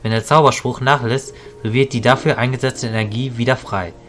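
Calm, measured mentor mage played by a man. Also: medium pitch, faint music behind, lightly acted. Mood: explaining. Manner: measured, explanatory. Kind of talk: hobby audio drama storytelling.

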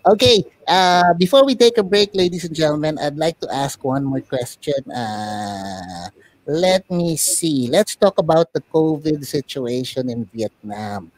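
A boy puppet played by a man hosts a talk show in a fairly high voice. The questions are courteous and deliberate, and the sound is close and dry. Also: animated, no echo. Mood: courteous, curious. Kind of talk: conversational interviewing.

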